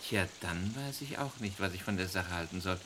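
An actor playing a chatty, helpful homeowner in a vintage radio crime play. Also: male voice, low-pitched, fairly quick, noisy background, natural voice. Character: helpful homeowner who grew up locally. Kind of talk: 1950s-80s radio crime drama.

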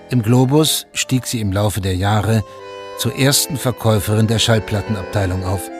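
An actor as the chronicler of a radio play, narrating in a sober, factual storytelling manner. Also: male voice, on the low side, close to an everyday voice. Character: male chronicler soberly recounting a sad aftermath.

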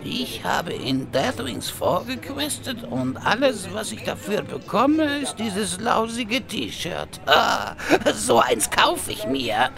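An excitable, grudge-bearing goblin in a fantasy parody audio drama. The voice is creaky and slow, swinging wildly up and down with whiny melodrama. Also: female voice, medium pitch, over music, full character voice. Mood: curious, chatty. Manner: melodramatic, hesitant.

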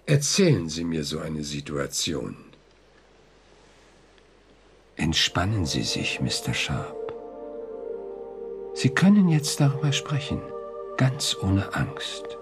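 An actor playing a suave, probing psychiatrist in a radio drama, husky and soothing in a professional manner. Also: male voice, a bass voice, huge pitch swings, slow pace, music in the background, exaggerated voice. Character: suave, reassuring male psychiatrist, discreet and probing. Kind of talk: German radio drama acting.

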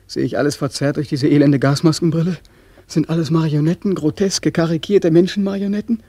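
An actor playing a bitter young soldier returned from war and drowned, with a husky voice, swinging between mockery and anguished accusation in a radio play. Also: male voice, a little high, fairly even intonation, brisk, dark tone. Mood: anguished, brooding. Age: young adult. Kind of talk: German radio play acting.

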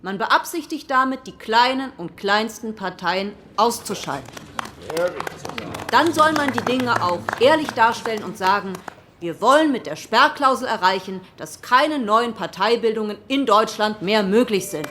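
A woman's voice: a little high, expressive, measured pace, a bit bright, slight background noise. An actress playing a female politician who gives an earnest, rhetorical speech to parliament in a historical radio play. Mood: pointed. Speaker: parliament member. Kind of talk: historical radio drama acting.

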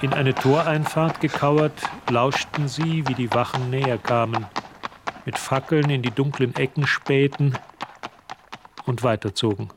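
A man narrating a scripted radio drama as a measured, observant storyteller. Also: medium pitch, fairly even intonation, very noisy, lightly acted. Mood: tense, measured.

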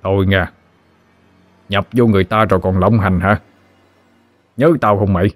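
A man's voice: deep voice, steady tone, moderate pace, dry recording, natural voice. A man with a Southern Vietnamese accent voicing a wry old exorcist who scolds a spirit in a teasing way.

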